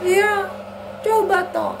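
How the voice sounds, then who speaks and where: A woman's voice: very high voice, fairly even intonation, slow, voice pushed up, exaggerated voice. A woman voicing a whiny, bored little girl character in a children's Sunday school skit, with a pouty, complaining delivery. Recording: clear background noise.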